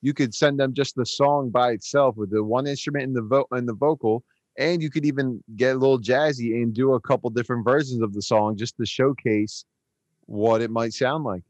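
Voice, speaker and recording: A man's voice: mid-range voice, steady tone, fairly quick, a little mellow, no echo, muffled recording. A man co-hosting a music podcast, chatting casually and enthusiastically with plenty of fillers. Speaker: podcast co-host, songwriter and producer. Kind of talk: casual chat.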